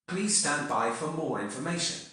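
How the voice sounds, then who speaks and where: A man reading a formal, measured emergency broadcast announcement. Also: a little high, lively intonation, bright, forceful tone. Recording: heavily echoing reverb.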